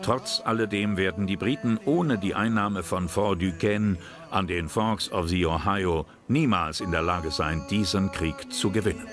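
A man's voice: low-pitched, a little slow. A man reading scripted historical documentary narration as the narrator. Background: music with guitar.

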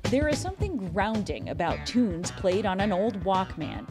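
A woman's voice: mid-range voice, very animated, moderate pace. A woman with an American accent reading scripted narration as a news correspondent. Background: background music.

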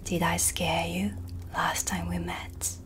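A young woman playing an eerie, commanding dark witch with quiet menace in a soft-spoken ASMR roleplay, deliberate and whispery, close to the microphone. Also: lowish voice, monotone, background noise. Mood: quietly ominous.

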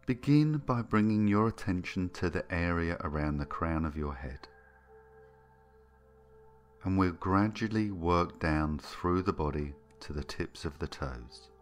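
Very deep-voiced man guiding a meditation. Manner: soothing, gentle, unhurried with long pauses. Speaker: hypnotherapist, meditation guide. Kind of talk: guided meditation narration. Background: background music.

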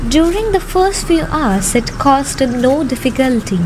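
A woman's voice: sits high, lively intonation, a little slow. A young woman reading scripted narration for an amateur radio drama. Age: young adult. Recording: clearly noisy, ambient sound behind.

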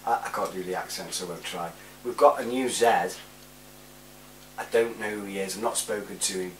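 Roomy, slightly distant home recording: man, forties, mid-pitched, informal and matey vlogger chat. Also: a little fast, a bit bright. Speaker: amateur video presenter. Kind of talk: casual chatty shout-outs to camera. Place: small living room, indoors. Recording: roomy, distant mic with hum.

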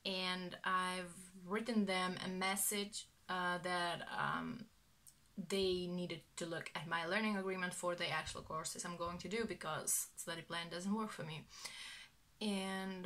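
A woman's voice: mid-range voice, sharp tone. A young woman in her twenties from Lithuania, a native Lithuanian speaker, vlogging casually to camera from her bedroom, chatty and enthusiastic with many fillers. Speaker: vlogger, exchange student. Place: bedroom, indoors. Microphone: camera on desk at close range.

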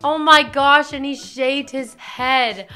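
A young woman with a hoarse, deeper-than-usual voice, co-hosting a casual reaction chat at a desk mic in a small home studio, giggly and reactive. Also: fairly even intonation, slow, pushed and bright. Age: young adult. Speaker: co-host.

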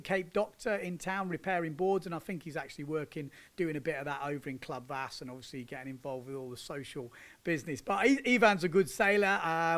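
A man's voice: sits high, a bit bright. A man, chatting casually as a windsurfing vlog host, laughing and using slang. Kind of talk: casual chat with commentary over surf footage. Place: indoors, sitting on a sofa. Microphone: handheld microphone.

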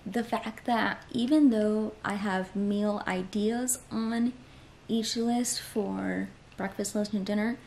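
A woman's voice: medium pitch, vocal fry, warm tone. A young woman in her twenties chatting casually about groceries and meal prep in a small apartment, talking with lots of fillers. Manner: chatty, filler-heavy, upbeat. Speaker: lifestyle vlogger. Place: small apartment living room.

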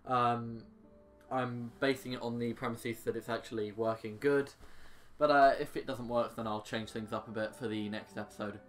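A man's voice: medium pitch, steady tone, moderate pace, soft background music. A man doing casual gameplay commentary, sarcastic and exclaiming with mild swearing. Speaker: gaming YouTuber.

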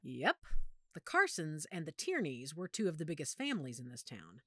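A woman playing a knowledgeable werewolf pack member in an audio drama, speaking in a measured, authoritative, explanatory way. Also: deep voice, big pitch swings, pushed and bright, slightly rough, no room sound, studio quality, full character voice. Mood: informative, assured. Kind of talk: audio roleplay drama acting.

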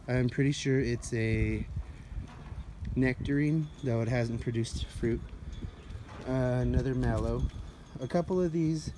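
A man giving a casual, unscripted garden-tour narration as he walks outdoors, recorded on a handheld phone. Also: mid-range voice. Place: outdoors in a residential front yard, walking. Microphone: handheld phone held by the speaker. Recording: noisy outdoor phone recording, dogs around.

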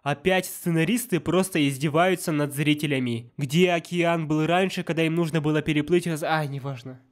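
A man with a somewhat high voice narrates a nitpicking review. His delivery is scripted and sardonic, with a steady mocking edge. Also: moderate pace, over music. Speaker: narrator of a film-nitpicking video. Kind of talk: scripted sarcastic commentary.

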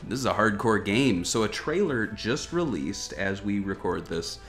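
A man's voice: lowish voice, expressive, moderate pace, fairly bright tone. A man hosting a gaming news show, giving casual, wryly joking commentary on a video game trailer. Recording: music behind the voice. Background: background music.

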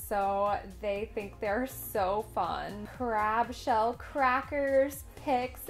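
A woman in her thirties chatting in a cheerful, friendly way while narrating a home vlog about party prep. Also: mid-range voice. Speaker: homemaking vlogger. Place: living room at home.

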